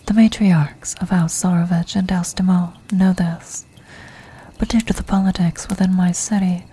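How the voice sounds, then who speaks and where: A woman voicing an ancient, commanding vampire countess in a soft, breathy, formal whisper for an ASMR fantasy roleplay. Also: lowish voice, steady tone. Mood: calculating, authoritative. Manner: slow, formal, measured, regal.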